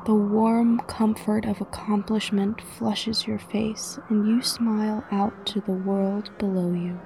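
A woman narrating a scripted guided meditation in a soothing, measured way with frequent pauses, her voice soft and breathy, often near a whisper. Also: mid-range voice, flat delivery, background noise. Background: music.

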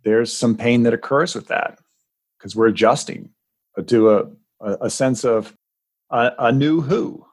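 A man's voice: medium pitch, a little slow, darkish tone, slightly rough, no echo. A man co-hosting a podcast in casual chat, reflective and thoughtful, often repeating words as he thinks. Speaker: podcast co-host, coach.

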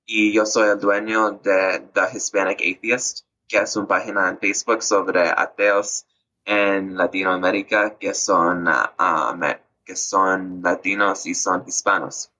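A man from North Carolina co-hosts a podcast in an unhurried, deliberate way, often pausing with fillers to find his words. His low voice has a bright, forceful edge. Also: flat delivery. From North Carolina, USA. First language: Spanish. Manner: hesitant, searching for words with frequent fillers. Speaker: podcast co-host. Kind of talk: casual podcast chat.

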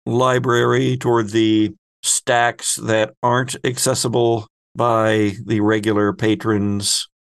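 A man runs a tabletop role-playing game as its narrator. His voice is low and creaky, and he lays out each scene very slowly in measured, descriptive phrases with pauses. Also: flat delivery, no echo. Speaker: game master.

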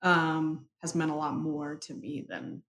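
A young adult woman, a native Spanish speaker, reflecting thoughtfully in an interview and talking in halting phrases full of fillers. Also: low voice, animated, fairly bright tone. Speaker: interview guest. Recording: echoey room, faint ambience.

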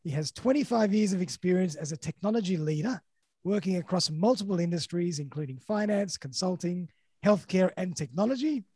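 A man's voice: high voice, lively intonation, a little fast, warm tone, slightly rough, dry recording. A man hosting a podcast interview, upbeat and full of praise for his guests. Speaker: podcast host.

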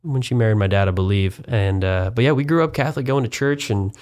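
A man's voice: medium pitch, fast, a little mellow. A young man from Kansas chatting in an interview about his parish work and hometown, rambling with many fillers and restarts and a dry, self-deprecating humor. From Wichita, Kansas. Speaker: parish communications and development coordinator, guest. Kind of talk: casual interview conversation.

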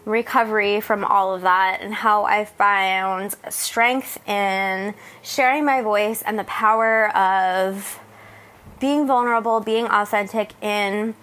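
A woman introducing herself and her story in a halting, reflective way. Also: medium pitch, fairly even intonation, a bit bright. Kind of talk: video introduction.

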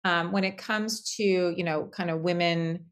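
A woman's voice: lowish voice, steady tone, a little slow. A woman hosting a podcast, asking questions and thanking her guest, with frequent restarts. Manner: appreciative, hesitant with restarts. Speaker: podcast host. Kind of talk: interview questions.

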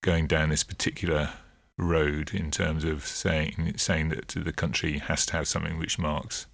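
A man co-hosting a political podcast, explaining in a measured, hesitant way with many fillers and sounding a little under the weather. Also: extremely low pitch, flat delivery, gravelly, a bit bright, no room sound. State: a bit ill. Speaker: co-host and political editor. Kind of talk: casual political podcast chat.

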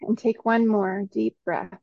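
A woman leading a guided meditation in a soothing, instructional way, in short phrases with long pauses between them. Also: medium pitch, fairly even intonation, creaky, no echo, lo-fi sound.

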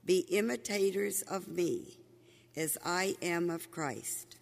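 Gravelly high-voiced man reading scripture. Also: expressive. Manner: slow, measured reading. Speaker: lector. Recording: echoey church hall.

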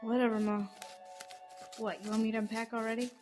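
A woman's voice: a little high, flat delivery, fairly quick, a little mellow, soft background music, natural voice. A young woman playing a sarcastic, offhand college student in an audio drama. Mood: grumbling. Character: blind young female college student, sardonic and nervous.

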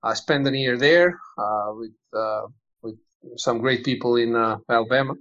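A man's voice: mid-range voice, normal speed, a bit bright, muffled recording. A man in his forties from Montenegro, an English speaker, talking in a remote interview with many fillers and restarts. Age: 40s. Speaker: basketball club figure, former player.

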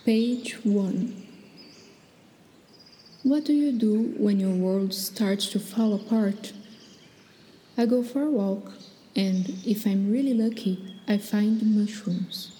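A woman reads a passage aloud at a slow, calm pace in a mellow, warm voice. A long reverb trails behind her words, and birds can be heard in the background. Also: medium pitch, slight background noise. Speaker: reader of book excerpt.

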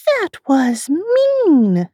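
A polite, earnest little girl from a storybook, played by a woman, speaks in a high, prim, childlike voice. The intonation swings widely and turns easily indignant. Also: huge pitch swings, extremely slow, mellow, no room sound, studio quality, full character voice. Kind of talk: storybook character reading.

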